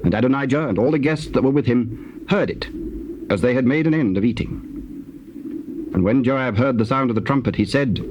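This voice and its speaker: Man narrating bible solemnly, mid pitch, noisy old cassette. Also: a little fast, long pauses, dull audio. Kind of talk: solemn scripted scripture narration.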